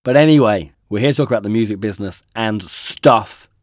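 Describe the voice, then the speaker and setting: A man co-hosting a podcast, hoarse from a cold, chatting in a dry, wry, self-mocking way. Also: fairly low pitch, fairly quick, no echo. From United Kingdom. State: hoarse from a cold, voice deeper than usual. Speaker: podcast co-host. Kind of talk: casual chat.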